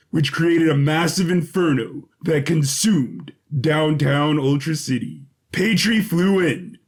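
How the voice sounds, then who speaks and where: Male narrator for an adventure drama, fairly high voice, scripted and dramatic. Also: husky.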